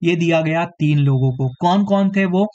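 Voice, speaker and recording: Hoarse high-voiced male teacher. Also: moderate pace, a little mellow, dull audio. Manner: repeats "theek hai" as a tag, addresses students directly. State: slightly hoarse, clearing throat. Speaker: exam coaching teacher. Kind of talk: live online quiz lesson.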